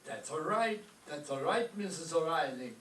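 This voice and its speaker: Man playing a high-voiced, mournful wisecracking maestro. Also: lively intonation, normal speed, fairly bright tone. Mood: wry. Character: melodramatic, wisecracking, put-upon old maestro. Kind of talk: old-time radio comedy acting on stage. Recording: echoey room, distant mic, faint noise.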